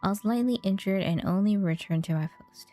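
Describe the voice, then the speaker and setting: Woman reading as a mellow, grateful male guard. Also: mid-range voice, a little fast, soft background music, close to an everyday voice. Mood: admiring. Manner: friendly, grateful, read off screen with stumbles. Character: friendly, grateful male guard, modest lucky survivor. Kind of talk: reading game dialogue aloud.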